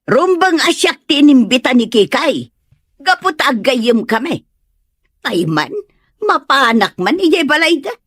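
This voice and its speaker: Woman acting high-pitched, whiny Ilocano village gossip. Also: very animated, measured pace, a bit bright, no room sound, clean studio sound, heavily acted. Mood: indignant. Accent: Filipino, Ilocano. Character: meddlesome, grudge-holding village gossip woman, pushy party guest. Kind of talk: Ilocano audio comedy-drama acting.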